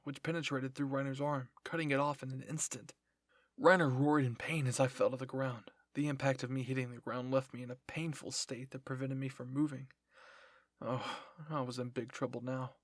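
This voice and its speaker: Husky man reading a dramatic story. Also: medium pitch, dry recording, clean studio sound. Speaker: narrator of fan fiction roleplay.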